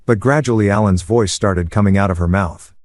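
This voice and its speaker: A man narrating a first-person story in a steady, measured way. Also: fairly low pitch, dry recording.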